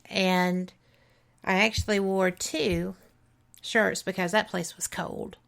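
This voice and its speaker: Chatty Southern woman with a gravelly voice. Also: medium pitch, fairly even intonation, takes it slowly, fairly bright tone. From Alabama. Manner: chatty, enthusiastic, laughs easily. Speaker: radio show host. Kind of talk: casual radio talk show.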